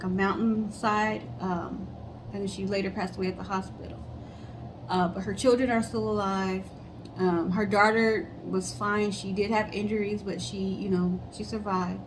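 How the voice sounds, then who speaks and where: A woman's voice: mid-range voice, background noise. A woman co-hosting a casual storytelling show, chatting conversationally and speaking straight to viewers. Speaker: co-host of a storytelling guessing show.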